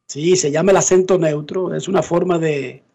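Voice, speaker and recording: A man hosting a radio sports show, announcing game schedules in a brisk, matter-of-fact way. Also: a little high, fairly even intonation, vocal fry, mellow.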